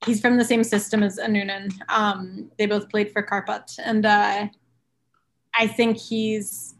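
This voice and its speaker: A woman on a podcast breaking down goalie prospects in knowledgeable detail. She thinks aloud with frequent ums and restarts. Her mid-pitched voice carries a noticeable vocal fry. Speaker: podcast guest, hockey analyst. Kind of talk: casual hockey chat.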